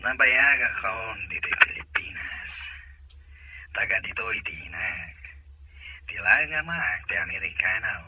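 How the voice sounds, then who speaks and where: A man acting a secretive American suitor with a raspy, breathy voice in an audio drama. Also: fairly low pitch, expressive, unhurried, sharp tone, slight background noise, full character voice, poor audio. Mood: coaxing. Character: American suitor speaking Ilocano, smooth-talking, secretive.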